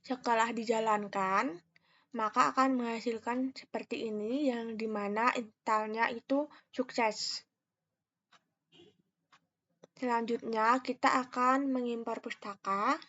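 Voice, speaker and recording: A young adult woman, a student narrating a step-by-step software tutorial in a careful, halting, explanatory way, on a dull lo-fi recording. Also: fairly high pitch, fairly even intonation. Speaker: university student presenter.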